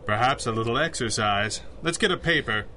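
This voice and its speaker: An actor playing an unflappable, formal gentleman superhero boss in a 1930s-style radio drama. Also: mid-range voice, lively intonation, brisk, a little hiss or hum. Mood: wry, assured. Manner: crisp, formal, wryly commanding.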